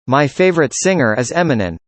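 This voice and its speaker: A man reading a student's part in a scripted classroom conversation, with an even, measured delivery. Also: a little high, no echo. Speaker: student in a dialogue.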